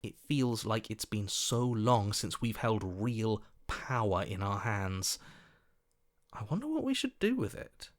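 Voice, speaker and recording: A man voicing a scheming, flattering opportunist in a video game, with an oily, ingratiating and theatrical delivery. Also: fairly low pitch, unhurried. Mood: conspiratorial.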